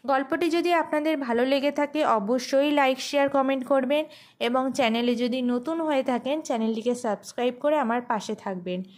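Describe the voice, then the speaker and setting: A woman's voice: high-pitched, fairly quick, darkish tone. A woman narrating a scripted audio story as the host.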